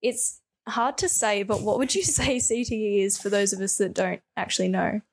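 A woman's voice: mid-range voice, moderate pace, dry recording. A young woman with an Australian accent, hosting a radio interview with prepared introductions and questions. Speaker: radio show host.

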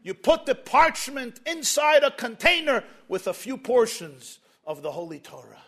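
High-voiced man preaching through a story. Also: measured pace, husky. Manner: animated, piling up lists with rising energy. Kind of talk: storytelling sermon.

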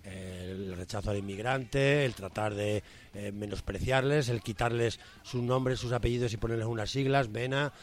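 A man's voice: lowish voice, moderate pace. A man from Segovia with a Castilian Spanish accent, hosting an interview in an informal, rambling way. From Segovia, Spain. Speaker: interviewer, host.

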